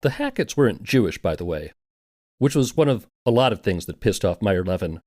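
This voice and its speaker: A man's voice: lowish voice, lively intonation, a little fast, a little mellow, slight background noise. A man narrating a scripted documentary podcast in a conversational, wry manner.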